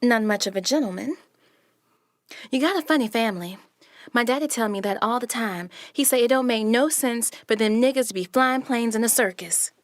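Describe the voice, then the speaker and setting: Woman acting a sharp-tongued young woman. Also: on the high side, moderate pace, dry recording, studio quality, lightly acted. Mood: indignant, sparring. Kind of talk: stage-play dialogue for radio.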